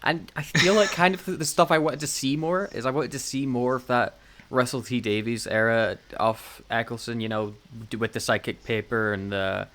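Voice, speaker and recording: A man's voice: mid-range voice, steady tone, moderate pace, a bit bright. A man co-hosting a podcast in casual, joking review chat, with a self-deprecating manner. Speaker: podcast co-host.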